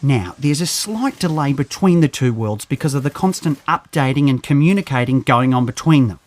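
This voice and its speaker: A man's voice: medium pitch, lively intonation, normal speed, a little mellow, natural voice. A man playing a nerdy, hesitant scientist in an audio drama, stammering and rambling. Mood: hesitant, thoughtful. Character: nerdy, hesitant male scientist with boyish glee.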